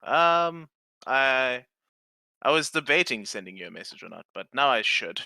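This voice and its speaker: A man's voice: mid-range voice, sing-song, moderate pace, vocal fry, sharp tone, no echo. A man, a native Afrikaans speaker, running a tabletop game as game master and joking with the players.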